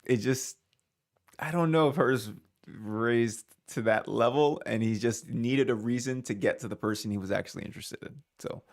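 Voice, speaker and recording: A man's voice: mid-range voice, normal speed. A man hosting a reaction video, casually thinking aloud, hedging and restarting his sentences. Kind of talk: casual commentary.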